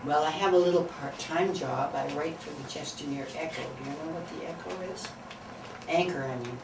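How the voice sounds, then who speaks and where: A woman's voice: deep voice, moderate pace, roomy sound. Older woman interviewee, chatty, turns questions back; distant mic, noisy room. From Canada. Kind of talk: casual interview answers.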